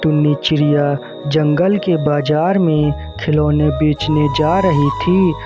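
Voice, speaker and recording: A man's voice: on the high side, steady tone, takes it slowly, music in the background. A man narrating a scripted children's cartoon story as storyteller. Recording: dull sound with faint noise.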